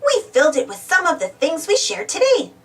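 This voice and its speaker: A woman voicing an excitable young female puppy who exclaims, in a children's storybook. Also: very high-pitched, brisk, recorded from a distance, pitched above the performer's own voice, exaggerated voice. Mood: excited.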